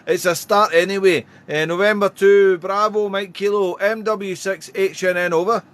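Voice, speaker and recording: A man's voice: sits high, steady tone, normal speed. A man working as an amateur radio operator, chatting in a friendly way and calling out phonetic call signs. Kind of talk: radio contact calls and casual chat. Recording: clear background radio noise.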